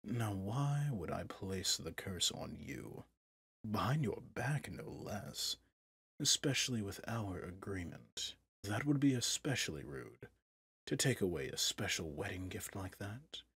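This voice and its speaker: An actor playing a possessive, manipulative villain prince in an ASMR roleplay drama, speaking softly in measured, courtly phrases with a breathy, husky voice. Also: male voice, deep voice, lively intonation, no echo, heavily acted. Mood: possessive, coaxing.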